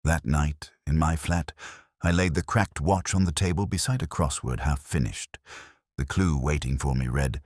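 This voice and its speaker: A man narrating a first-person detective story in a measured, literary, brooding manner. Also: a bass voice, darkish tone, no room sound, studio quality.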